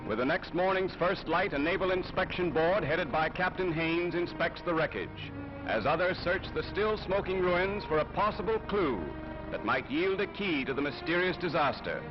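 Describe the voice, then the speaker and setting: A man's voice: a little high, expressive, moderate pace. A man reading scripted 1930s newsreel narration in a formal, declamatory way. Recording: muffled vintage 1930s newsreel soundtrack. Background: music.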